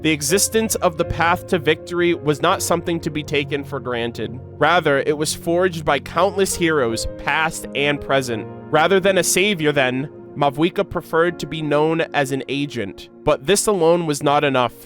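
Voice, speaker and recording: Higher-pitched man doing game commentary. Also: normal speed, few pauses, music in the background. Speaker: video game reaction host. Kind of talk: commentary and reading game lore aloud.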